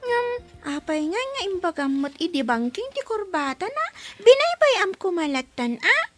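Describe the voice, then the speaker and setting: A woman's voice: very high voice, sing-song, moderate pace, music in the background. A woman voicing a cheerful, chatty little child in a radio drama, with giggly sing-song talk. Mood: teasing. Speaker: child, nanny's charge.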